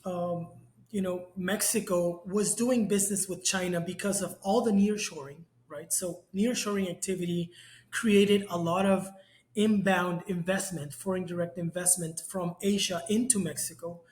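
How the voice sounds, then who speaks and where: A man, a guest expert on global trade, explaining in a measured way and often checking in with "right?". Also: sits high, steady tone, a little mellow, echoey room. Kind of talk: explanatory interview talk.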